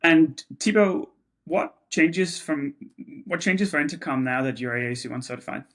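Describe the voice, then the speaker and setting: A man's voice: fairly high pitch, moderate pace, fairly bright tone. A man who runs a company, interviewing a guest and adding his own commentary between questions. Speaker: interviewer, company chief executive.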